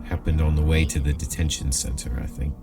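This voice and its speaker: An actor playing a grave, remorseful leader dictating a personal log in clipped, deliberate phrases. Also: male voice, extremely low pitch, steady tone. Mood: grave, regretful. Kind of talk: audio drama monologue. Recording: distant voice under loud music.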